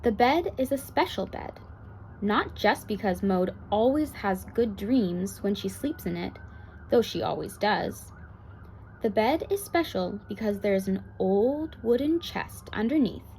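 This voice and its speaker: A woman's voice: medium pitch, darkish tone, rough recording. Woman reading a bedtime story, background noise. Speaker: storybook reader. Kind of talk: read-aloud bedtime story narration.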